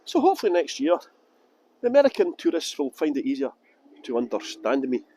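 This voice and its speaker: A man's voice: fairly high pitch, very animated, fairly quick, mellow. A man from Edinburgh with a Scottish accent, guiding a tour group outdoors with jokey, playful commentary. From Scotland. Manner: jokey, playful mock pronunciations. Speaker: tour guide. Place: outdoors by a canal boat lift.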